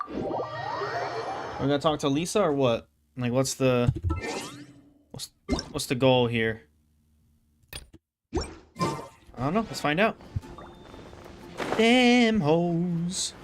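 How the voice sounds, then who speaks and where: A young man streaming a game, reacting with excitable, slangy jokes and quick asides. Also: fairly high pitch, over music. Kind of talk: casual reaction commentary.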